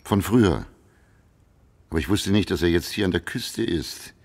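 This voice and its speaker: An actor playing a terse, probing private detective in a radio crime drama, with clipped questions. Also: male voice, low voice, animated, normal speed, background noise. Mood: businesslike. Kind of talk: German radio play acting.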